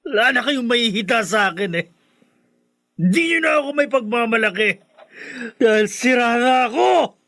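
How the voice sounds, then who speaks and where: An actor playing a broken, defiant drunkard son with a Filipino accent, bitter and anguished in a Tagalog radio drama. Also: male voice, very high-pitched, lively intonation, normal speed, vocal fry, a bit bright, heavily acted. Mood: desperate, shouting.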